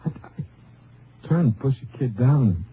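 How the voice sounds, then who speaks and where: An actor playing a gravely wounded, grieving deputy sheriff, speaking weakly and haltingly in an old radio drama. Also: male voice, medium pitch, animated, mellow. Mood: anguished, grieving. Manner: halting, plain-spoken, folksy. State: weak, in pain. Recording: faintly noisy old radio recording.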